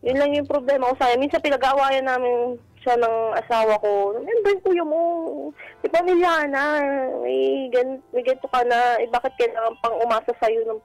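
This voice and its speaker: High-voiced Filipino woman calling in. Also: fairly quick, a little mellow, no room sound, faint music behind, rough recording. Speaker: caller. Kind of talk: casual chat, explaining a personal problem. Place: call-in to a radio show.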